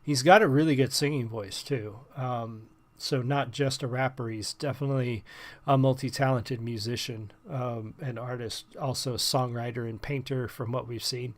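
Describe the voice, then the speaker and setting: A man reacting to and reviewing a song in casual commentary, pausing often with ums and restarting sentences. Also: mid-range voice, fairly even intonation. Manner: hesitant, many ums and restarts. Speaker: music reviewer.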